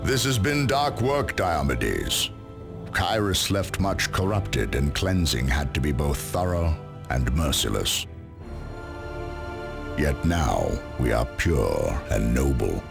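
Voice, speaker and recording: A solemn, stern warrior commander speaks in an extremely deep voice with heavy gravel. The delivery is formal and grave yet dramatically inflected, as in a game cutscene. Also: male voice, very animated, slow pace, over music, exaggerated voice.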